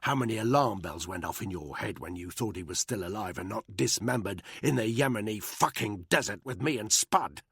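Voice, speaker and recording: A man's voice: on the low side, lively intonation, moderate pace, few pauses, fairly bright tone, slightly rough, dry recording, studio quality, full character voice. A man voicing a hard, furious soldier who growls with contempt. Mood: menacing.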